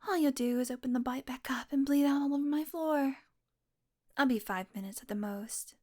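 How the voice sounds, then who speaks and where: A woman's voice: high voice, normal speed, warm tone, lightly acted. A woman voicing a teasing, possessive vampire who dotes on her prey, speaking intimately and smugly to the listener in an audio roleplay. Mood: gentle, amused. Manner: smug, flirtatious teasing with mock annoyance.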